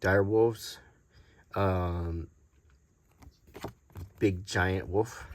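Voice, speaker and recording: A man hosting an unscripted, ASMR-like show-and-tell in a halting, casual way. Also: deep voice, fairly even intonation. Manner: halting, unscripted, hesitant delivery. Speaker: host.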